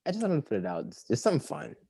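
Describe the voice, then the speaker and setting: Man, musician guest, slightly raspy, rambling casual talk, middle pitch, dry close sound. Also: rapid-fire, no echo. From Maryland. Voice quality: a little raspy. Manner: rambling, animated, breaks into song. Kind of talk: casual interview chat.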